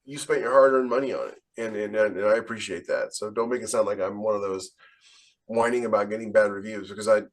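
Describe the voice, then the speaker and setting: A man's voice: on the low side, fast. A man speaking as an author on a podcast panel, blunt and emphatic in casual, opinionated conversation. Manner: blunt, emphatic, rhetorical questions.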